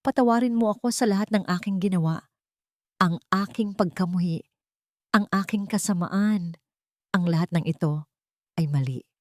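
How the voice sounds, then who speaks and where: A woman's voice: medium pitch, normal speed, long pauses, a little mellow, no echo, studio quality, natural voice. Woman narrator voicing a pleading, remorseful Filipino woman.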